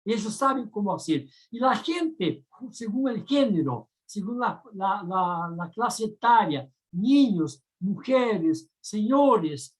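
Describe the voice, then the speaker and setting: A woman with a Brazilian Portuguese accent speaks as a discussant on an academic panel, in a middle pitch. She talks thoughtfully and keeps stopping to hunt for the right word, with fillers and restarts along the way. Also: expressive, slow pace, hardly any pauses. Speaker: round-table discussant.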